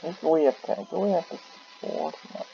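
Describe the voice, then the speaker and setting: A man giving casual, halting gameplay commentary, muttering to himself, on a muffled mic with faint noise. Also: on the high side, creaky. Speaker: gaming video host.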